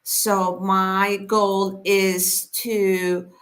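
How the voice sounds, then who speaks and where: Slow, easy-going woman hosting a livestream. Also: medium pitch, flat delivery, a bit bright. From Iran. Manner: unhurried, many fillers, speaks straight to viewers. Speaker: host of a weekly live inspirational session. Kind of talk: casual live-stream talk.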